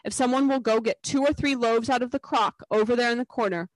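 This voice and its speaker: A woman's voice: fairly high pitch, quick pace, no room sound, pitched above the performer's own voice, close to an everyday voice, rough recording. A woman voicing a motherly female beaver, practical and matter-of-fact, in a storybook reading. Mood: brisk, practical.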